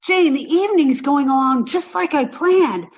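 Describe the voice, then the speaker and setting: A woman playing a high-pitched, ditzy young American sweetheart. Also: normal speed, lo-fi sound, close to an everyday voice. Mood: breezy, content. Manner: guileless, earnest, scatterbrained. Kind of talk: old-time radio sitcom acting.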